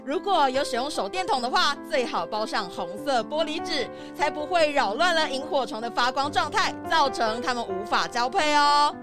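An eager chameleon tour leader, voiced by a woman, high-pitched and earnest like a keen nature guide. The children's radio-play delivery sits over clear background music. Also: lively intonation, moderate pace, hardly any pauses. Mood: earnest, enthusiastic. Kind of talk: children's audio drama. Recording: music behind the voice.

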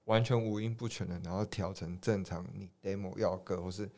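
A man's voice: deep voice, steady tone, a little fast, mellow. A man hosting a podcast, asking questions in a casual, conversational way. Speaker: podcast host.

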